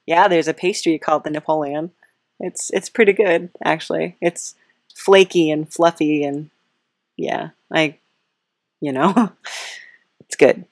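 A woman's voice: deep voice, measured pace, long pauses, no echo, clean studio sound. A woman hosting a comic interview in a casual, rambling, hesitant way with a wry touch. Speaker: podcast host, interviewer.

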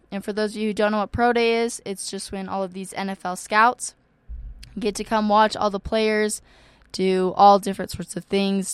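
A young woman hosts a campus radio show, rattling off events in a chatty way with lots of ums. Her voice is wearing out and cracks at times, though its tone stays smooth. The sound is dry and close. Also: medium pitch, fairly bright tone, no echo. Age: young adult. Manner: chatty, many ums and likes. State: losing her voice, occasional cracks. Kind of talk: casual radio announcements.

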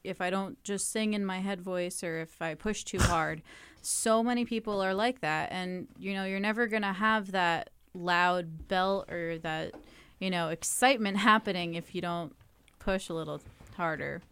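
A woman's voice: fairly low pitch, moderate pace, fairly bright tone, clean tone. A young woman singer answering interview questions in a chatty way, with frequent "um" and "like" and little laughs. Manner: chatty, laughs often, fillers like "um" and "like".

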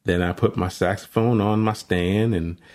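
Deep-voiced man, relaxed storyteller. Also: normal speed. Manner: relaxed storytelling, chuckles between thoughts. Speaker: saxophonist and co-host. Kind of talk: casual conversation.

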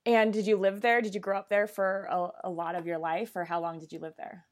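A woman's voice: mid-range voice, flat delivery, a little fast. A woman hosting a podcast, talking in a casual, conversational way as she introduces and interviews a guest.

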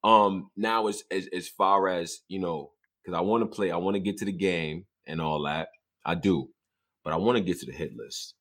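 A man in his thirties hosting a casual, joking hip-hop interview, his voice jumping high when he gets excited. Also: normal speed. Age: 30s.

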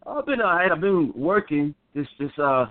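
A man's voice: on the high side, animated, normal speed, dry recording. Easygoing man guest on a phone line. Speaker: musician guest. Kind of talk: casual phone interview. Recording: dry, tinny phone line.

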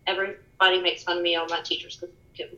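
A soft-spoken woman guest on a podcast, speaking candidly and reflectively in casual conversation. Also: fairly low pitch, flat delivery, quick pace, echoey room, lo-fi sound. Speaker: podcast guest, fitness studio owner. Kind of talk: casual interview chat.

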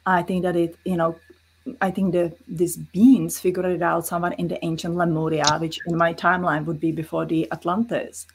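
A woman's voice: fairly low pitch, flat delivery, moderate pace, a little hiss or hum, poor audio. A woman with a Czech accent, a guest in an interview, explaining her views earnestly with many fillers. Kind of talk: casual interview talk.

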